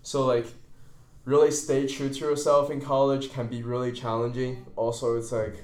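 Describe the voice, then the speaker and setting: A man's voice: medium pitch, level tone, moderate pace, a little mellow. Young man hosting a podcast in an echoey room. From China. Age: young adult. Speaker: podcast host, college student. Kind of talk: casual podcast chat. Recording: roomy echo.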